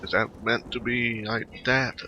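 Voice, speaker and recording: A man giving casual, halting commentary over a video game playthrough in short muttered reactions. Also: medium pitch, level tone, pushed and bright, highs cut off, a little hiss or hum. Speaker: gaming video maker.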